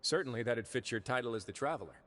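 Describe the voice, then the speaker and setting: An actor playing a composed, wry duke who runs a prison fortress in an anime video game, speaking in a measured, courteous way. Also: male voice, medium pitch, a bit bright, a little hiss or hum, natural voice. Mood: courteous, candid.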